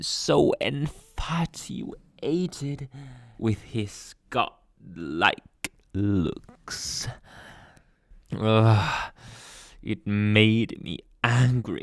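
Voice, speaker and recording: A man playing a jealous, possessive young boyfriend in an ASMR roleplay, speaking with dramatic pauses and dropping into whispers. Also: lowish voice, no room sound, clean studio sound. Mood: aggrieved, obsessive.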